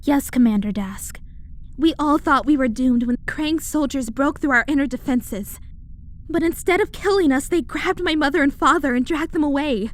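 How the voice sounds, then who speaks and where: A woman's voice: high voice, normal speed, lightly acted. A woman playing an earnest young alien princess, formal and gracious, in a comic-book audio drama. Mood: grateful.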